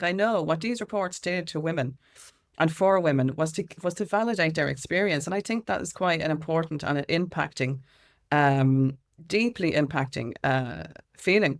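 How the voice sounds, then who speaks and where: A middle-aged woman with an Irish accent explaining things in an interview, emphatic and repeating phrases for weight. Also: on the low side. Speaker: interview guest, music consultant and activist.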